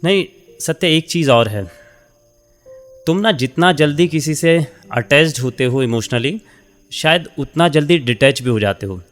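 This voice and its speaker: An actor playing a warm, talkative middle-aged senior editor in a radio drama, encouraging and conversational, mixing in English phrases. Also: male voice, a little high, lively intonation, unhurried, soft background music. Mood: fond, admiring.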